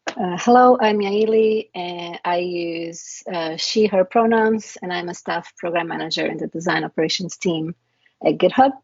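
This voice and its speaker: Woman from Portugal, conference talk, husky tone, slightly muffled recording. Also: lowish voice, dry recording. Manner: measured, explanatory, with frequent uh fillers. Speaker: conference speaker.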